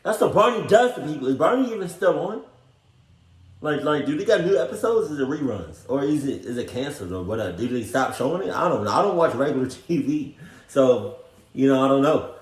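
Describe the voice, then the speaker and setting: A man's voice: fairly high pitch, animated, fairly quick, roomy sound. A man giving casual reaction commentary in a blunt, joking, profane way. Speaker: reaction video host.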